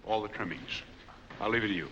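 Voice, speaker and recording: An actor playing a guarded, courteous drifter posing as a gentleman in a 1940s crime film. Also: on the low side, fast. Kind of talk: 1940s film noir acting. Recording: muffled, noisy 1940s film soundtrack.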